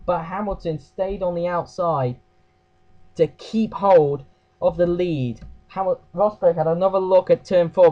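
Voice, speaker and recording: A man with a croaky voice giving a casual race recap, hesitant, with fillers and self-corrections. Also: fairly high pitch, mellow, dull audio. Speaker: race review host.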